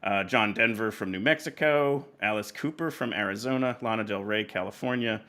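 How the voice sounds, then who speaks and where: A man's voice: lowish voice, brisk, sharp tone. A man hosting a casual chat podcast, talking in a rambling, hesitant way and often interrupting himself. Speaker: podcast host.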